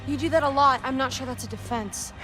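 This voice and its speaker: A young actress playing a fiery, blunt teenage Norse goddess in a video game, challenging in manner, over noisy ambience. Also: female voice, sits high, fast, over music, lightly acted. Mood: angry, hurt. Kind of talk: dramatic video game acting.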